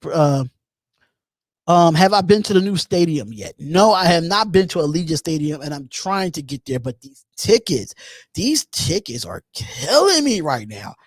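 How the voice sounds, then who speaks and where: A man in his thirties hosting a live call-in show on his own, talking casually, repeating phrases and pausing on drawn-out ums while he reads out chat comments. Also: on the high side, very animated, no echo. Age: 30s. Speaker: host.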